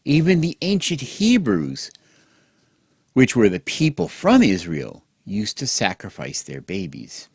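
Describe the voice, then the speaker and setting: Slow, lilting male bedtime storyteller. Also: mid-range voice, huge pitch swings, husky, dry recording, clean studio sound. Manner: exaggerated gentle sing-song, as if addressing small children. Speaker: host and storyteller. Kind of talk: mock children's bedtime storytelling.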